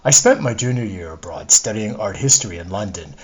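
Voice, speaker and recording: A man's voice: on the low side, expressive, fairly quick, slightly rough. A man reading a literary monologue as a wistful, discontented middle-aged wife, confiding and opinionated, in his own everyday voice. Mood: fond nostalgia. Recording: dull lo-fi recording.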